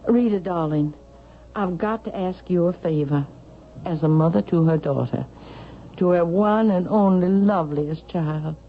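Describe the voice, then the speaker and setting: An actress playing a proud, suspicious elderly Southern mother in a vintage radio drama, haughty, sharp and demanding. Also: fairly low pitch, measured pace. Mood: pleading, insistent. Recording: dull vintage radio broadcast, faint noise.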